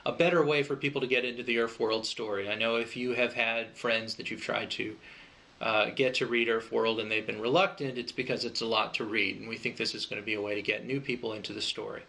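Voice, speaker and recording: A man giving an informal crowdfunding pitch, explaining things in a loose, conversational way. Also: steady tone, a little fast, vocal fry, bright, forceful tone. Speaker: project creator pitching a fundraiser.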